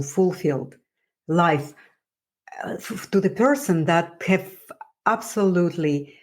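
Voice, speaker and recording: A woman with a strong Russian accent, speaking as a wellness coach in an encouraging motivational talk, halting as she searches for words. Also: sits low, darkish tone. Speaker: wellness coach and podcast host.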